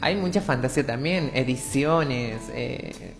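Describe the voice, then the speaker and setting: A woman's voice: rumbling low, measured pace, big-room sound, over music. A woman in her twenties, a radio interview guest, talking openly and reflectively about her personal story. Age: 20s.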